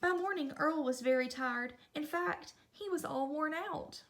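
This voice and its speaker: High-voiced woman reading a children's story. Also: measured pace, a bit bright. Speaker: storybook reader. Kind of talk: expressive children's read-aloud narration.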